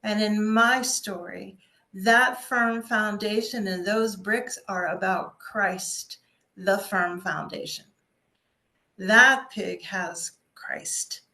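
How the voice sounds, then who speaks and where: A woman with a medium-pitched voice tells a scripted, personal story as a speaker and coach. She takes her time, pausing deliberately for emphasis. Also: sharp tone.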